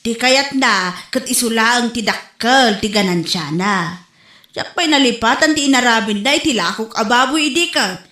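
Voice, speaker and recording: A woman's voice: a little high, animated, moderate pace, bright, forceful tone. A woman with a Filipino accent playing a frank, gossipy older village woman giving advice in an audio drama. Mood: frank, warning. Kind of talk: amateur audio drama dialogue. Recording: roomy echo.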